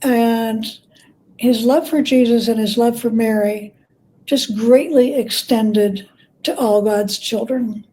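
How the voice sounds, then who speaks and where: A woman speaking as an expert guest in an interview, telling stories in a plain-spoken, thoughtful, measured way. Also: a little high, fairly even intonation, mellow, a little hiss or hum, poor audio. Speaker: guest, church historian and biographer. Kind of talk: conversational interview, storytelling.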